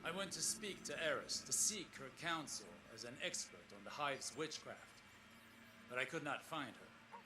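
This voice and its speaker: A man's voice: medium pitch, lively intonation, close to an everyday voice. Man playing a grave, weary sci-fi explorer, noisy log. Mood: grave, concerned. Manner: measured, grave, formal, with brooding pauses. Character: weary, scholarly male sci-fi explorer, solemn and troubled. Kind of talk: scripted audio log in a science fiction game.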